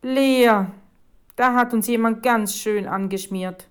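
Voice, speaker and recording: A woman voicing an excitable, dramatic female newspaper reporter in a storybook reading. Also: fairly high pitch, takes it slowly, smooth, pitched above the performer's own voice. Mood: disappointed, indignant. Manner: exclaiming, dramatic.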